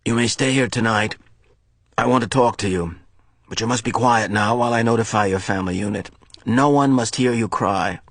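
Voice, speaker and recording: A man voicing a calm, firm old mentor who speaks measured and quietly rueful in an audiobook. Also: fairly low pitch, no room sound. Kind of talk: audiobook character voice.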